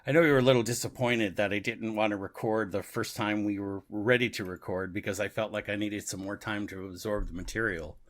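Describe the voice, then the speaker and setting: A man's voice: lowish voice, steady tone, fairly quick, few pauses. A man co-hosting a podcast in casual, reflective conversation, often repeating himself for emphasis. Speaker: podcast co-host. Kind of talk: casual discussion of an audio drama.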